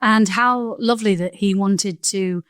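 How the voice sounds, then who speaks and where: A woman hosting a conversational interview, asking questions in a gentle, empathetic way. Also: mid-range voice, unhurried, dry recording, studio quality. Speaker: podcast host interviewing a guest.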